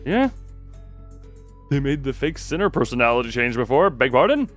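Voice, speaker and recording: A man's voice: mid-range voice, big pitch swings, moderate pace, fairly bright tone, soft background music. A man casually commentating on a live game stream in an irreverent, joking, sweary way.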